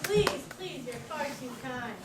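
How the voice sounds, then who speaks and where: Woman as a high-voiced showboating puppet boxer. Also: animated, a little slow. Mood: smug, mock-modest. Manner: mock-modest, bantering. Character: showboating male boxing champion puppet. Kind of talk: scripted children's puppet show. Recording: echoey, distant, noisy room.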